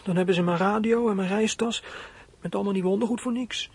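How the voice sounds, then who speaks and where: An actor playing a frightened, scheming fourteen-year-old runaway boy in a radio play, husky and thinking aloud in an anxious, halting way. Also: high voice, steady tone. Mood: anxious, fretting. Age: teens. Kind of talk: radio play acting, monologue.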